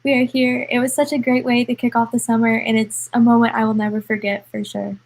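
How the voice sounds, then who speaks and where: A woman's voice: a little high, little pitch movement, brisk. Enthusiastic young woman, youth ambassador. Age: young adult. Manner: warm and enthusiastic storyteller. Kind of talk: panel sharing a story.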